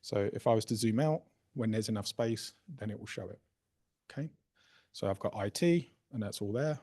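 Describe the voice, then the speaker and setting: A man presenting a software workshop, casually explaining a live demo and often checking in with "right?" and "okay?". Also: fairly low pitch, moderate pace. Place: workshop room.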